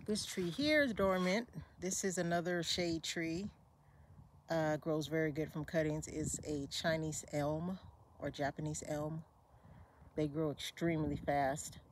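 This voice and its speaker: A woman giving a relaxed, unhurried walk-through of her desert garden, with fillers and self-corrections along the way. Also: low voice, a little hiss or hum. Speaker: homesteader giving a garden tour. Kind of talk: casual walk-through narration.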